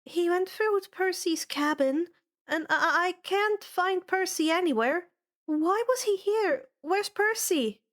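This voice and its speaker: A woman voicing a fierce, worried young girl in an audiobook, urgent and halting. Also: extremely high pitch, expressive, voice pushed up, full character voice. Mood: anxious.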